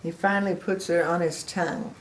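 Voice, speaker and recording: An older woman reading a picture book aloud to children, expressive, with chatty asides to the listeners. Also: on the low side, a little fast, poor audio. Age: older, a grandmother.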